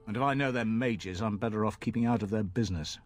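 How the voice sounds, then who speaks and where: A man's voice: lowish voice, fast, soft background music, lightly acted. An actor playing a plain-spoken, folksy commoner ferryman in a fantasy video game, chatting in rustic, colloquial speech. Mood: wary.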